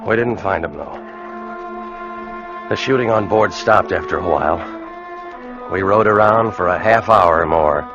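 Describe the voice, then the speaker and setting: A man's voice: low-pitched, a little slow, pauses often, over music. An actor playing an earnest ship's officer who tells his tale in an old radio drama with grave, tense delivery. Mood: grave narration. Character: earnest, steady ship's officer recounting a haunted voyage. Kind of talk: dramatic first-person narration, 1940s-50s radio acting. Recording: muffled old radio recording, dramatic score.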